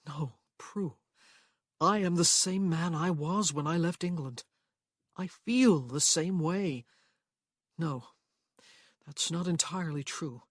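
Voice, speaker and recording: A woman voicing an earnest, lovesick young gentleman who speaks with emphasis. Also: low-pitched, slow, pauses often, breathy edge, no room sound, clean studio sound, exaggerated voice. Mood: urgent.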